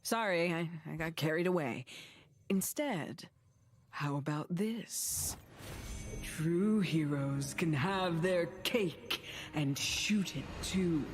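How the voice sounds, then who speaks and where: A woman playing a mysterious, wry storyteller in a video game, narrating cautionary fables in a measured, theatrical way. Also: fairly low pitch, slight background noise. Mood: wry, coaxing. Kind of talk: dramatic scripted game narration.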